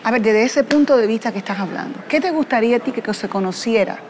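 A woman's voice: on the high side, fast talker, a little mellow. Woman, interviewer, direct questions, noisy background.

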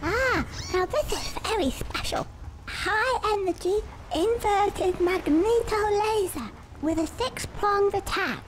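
A man's voice: very high voice, expressive, takes it slowly, background noise, heavily acted. A man voicing a gloating male mad scientist villain in a video game, theatrical and prone to panicky outbursts. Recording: game audio over loud music.

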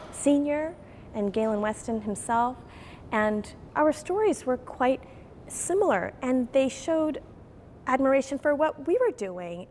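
Earnest high-voiced woman executive. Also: a little hiss or hum. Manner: earnest, animated, gestures while speaking. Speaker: grocery chain executive. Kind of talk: TV news interview. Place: grocery store interior.

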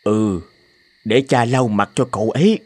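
A man voicing a kindly older village father in a cartoon, calm and reassuring. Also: lowish voice, normal speed, no echo, natural voice. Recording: loud music under the voice.

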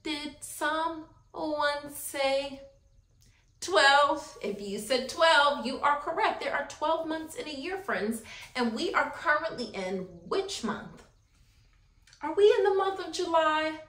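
An American woman in her thirties speaking as a preschool teacher, animated and encouraging, asking young children simple questions and pausing for them. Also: high-pitched. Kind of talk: children's circle time lesson, addressing young viewers directly. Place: small classroom-style room, indoors. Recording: roomy indoor echo.

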